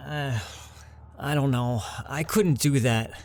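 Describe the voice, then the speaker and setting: A man's voice: medium pitch, slow, a little mellow, a little hiss or hum, close to an everyday voice. A man playing an anxious, cornered young ex-con in an audio drama, hesitant, stammering and deferential. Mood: uneasy protest.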